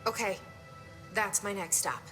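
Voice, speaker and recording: An actress playing a guarded, determined young video game heroine, speaking in terse, direct phrases. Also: female voice, medium pitch, measured pace, bright, forceful tone, soft background music. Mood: intent, questioning. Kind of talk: video game cutscene dialogue.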